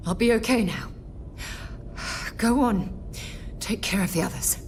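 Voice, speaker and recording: An actress playing an injured, caring space explorer in a video game, shaken and weary, speaking haltingly and earnestly. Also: female voice, mid-range voice, sing-song, a little mellow, a little hiss or hum. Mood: shaken, relieved.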